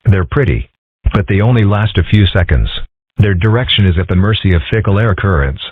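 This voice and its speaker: A serene, wry cartoon fox schoolboy, played by a man, with a notably low and husky voice. He stays calm and deadpan. The recording is thin and tinny, like a phone line. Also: a little fast, no room sound. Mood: musing. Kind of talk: comic strip dub acting. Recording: tinny phone-like band-limited audio.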